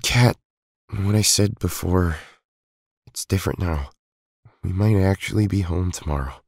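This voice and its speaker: A man playing a stubborn, loyal young male hero in an amateur audio drama, with an earnest manner and a husky voice. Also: low voice, a little slow, a little mellow, no echo, clean studio sound, exaggerated voice. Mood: resigned, guarded.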